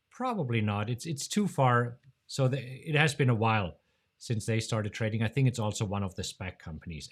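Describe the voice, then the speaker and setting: A German-accented man hosts a relaxed live-stream question-and-answer session. His voice sits at an ordinary middle pitch, and he talks in a chatty, joking way. He laughs often and leaps sharply upward in pitch. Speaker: host of a live trading show.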